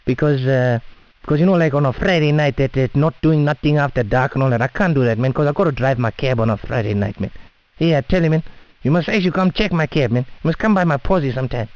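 A man's voice: medium pitch, quick pace, a little mellow. A man with a South African Indian English accent making a prank phone call in cheeky, rambling, slangy chat. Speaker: prank caller. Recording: dull lo-fi phone call audio.

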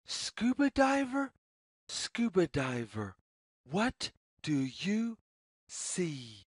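A woman reading a children's picture book aloud in a sing-song, deliberate, word-by-word way. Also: lowish voice, sing-song, darkish tone, slightly rough, no room sound, clean studio sound. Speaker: story reader.

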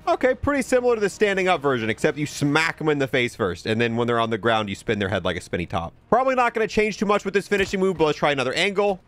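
A man's voice: a little high, lively intonation, rapid-fire, few pauses, fairly bright tone, a little hiss or hum. A man giving casual, chatty, opinionated commentary as the host of a gaming video. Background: music.

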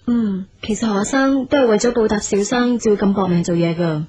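A woman's voice: medium pitch, fairly quick, mellow, breathy edge, natural voice. A woman playing a chatty, obliging long-serving office employee in a radio drama, with a Hong Kong Cantonese accent. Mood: helpful, admiring. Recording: slightly dull radio recording.

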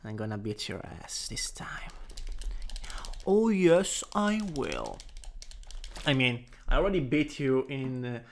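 A man's voice: mid-range voice, very animated, slow, creaky, pauses often, slight background noise, exaggerated voice. A man with an Italian accent playing a playful, teasing boyfriend in an improvised ASMR roleplay. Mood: relaxed, teasing. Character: playful, teasing, excitable young boyfriend watching anime.

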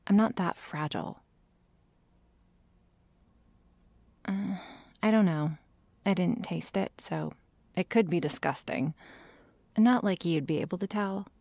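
A woman's voice: lowish voice, normal speed, gravelly, darkish tone, no room sound. A woman playing a prickly, sarcastic but secretly shy vampire girl in an ASMR roleplay, hesitant and sighing, often dropping to a whisper. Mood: defensive, hurt. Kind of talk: ASMR roleplay addressed to the listener.

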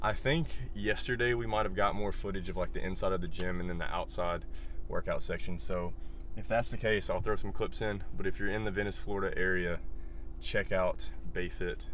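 A young man with a deep, low voice talks casually into his phone camera, loose and chatty, dropping "like" and "you know" often. He is recorded in a car with faint road and engine noise underneath. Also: fairly even intonation, brisk, fairly bright tone. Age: young adult. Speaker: fitness vlogger. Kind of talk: casual vlog talk to camera. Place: inside a car, then a home kitchen. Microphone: phone camera held or mounted nearby.

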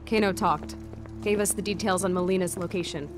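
A woman playing a brisk, assertive young soldier in a video game, clipped and businesslike. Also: fairly low pitch, fairly even intonation, music in the background, natural voice. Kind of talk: video game cutscene acting.

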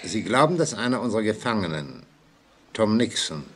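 An actor playing a calm, reserved police inspector, measured and formal, in a 1940s radio drama on crackly, metallic mono. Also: male voice, fairly low pitch. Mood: calm, guarded.

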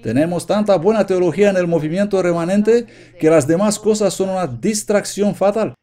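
A man's voice: high voice, moderate pace, warm tone. A man giving an earnest, emphatic sermon, reading quotations aloud from his notes. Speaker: preacher. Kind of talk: sermon read from notes, Spanish voice-over.

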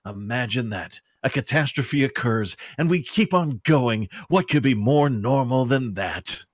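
Man, mid pitch, podcast reader, reading aloud, dry sound. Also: lively intonation, measured pace, dry recording.

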